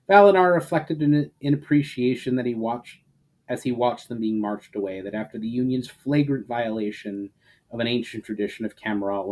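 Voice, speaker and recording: A man's voice: mid-range voice, mellow, poor audio. A man reading fantasy fiction aloud as a dramatic narrator, with earnest delivery and emphatic phrase openings. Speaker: author reading his fantasy novel aloud. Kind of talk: dramatic scripted story narration.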